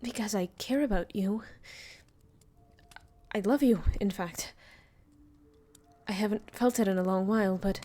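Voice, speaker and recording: A woman playing a centuries-old vampire master, tender and weary, confiding softly in an intimate audio roleplay. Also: mid-range voice, natural voice. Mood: lovesick, confessing. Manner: soft, slow, hesitant, confiding.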